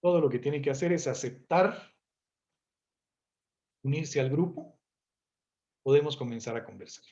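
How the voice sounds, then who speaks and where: A man's voice: fairly high pitch, a little slow, long pauses, mellow, dull audio. Polite male meeting facilitator. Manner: polite, filler-heavy, directing participants. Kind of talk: moderating an online consultation.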